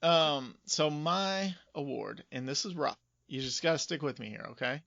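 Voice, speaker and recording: Guy cohosting a podcast, bright crackly voice, casual and opinionated, dry kinda lofi sound. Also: fairly high pitch, moderate pace, gravelly, no echo, dull audio. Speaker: podcast co-host. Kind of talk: casual chat about video game movies.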